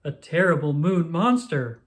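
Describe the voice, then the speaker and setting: A reader playing a dreamy, animated storybook bear. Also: medium pitch, a little slow, close to an everyday voice. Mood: wondering, fearful. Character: dreamy, eager storybook bear, earnest and naive. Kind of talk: children's storybook read-aloud.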